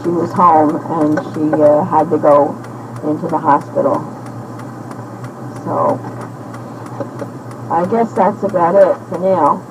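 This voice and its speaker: A woman in her sixties talking slowly and haltingly into a personal audio diary, with many pauses and fillers, heard from an old cassette tape. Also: sits low, background noise. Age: 60s. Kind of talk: rambling spoken diary.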